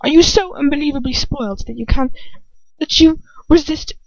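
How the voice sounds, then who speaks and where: A woman's voice: high voice, normal speed, no echo, voice pushed up. Woman playing a sneering, exasperated aristocratic man. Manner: drawling, contemptuous. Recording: dull lo-fi home recording.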